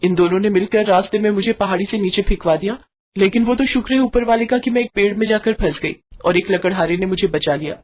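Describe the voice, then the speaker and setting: A woman's voice: medium pitch, quick pace, phone-quality sound, close to an everyday voice. Woman voicing a firm, clever young princess. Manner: firm and clear. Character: brave young princess Anjana, clever and composed.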